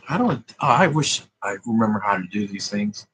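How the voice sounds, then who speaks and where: A man's voice: fairly low pitch, expressive, fairly quick, a bit bright, breathy edge. A man rambling in a profane, meandering monologue, thinking aloud as he works through sums. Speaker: vlogger.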